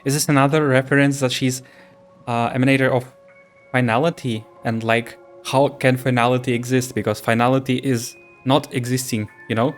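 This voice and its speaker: A man's voice: medium pitch, fairly even intonation, normal speed, soft background music. A man reacting casually to a video game story, puzzling over it aloud. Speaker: reaction streamer. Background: game audio.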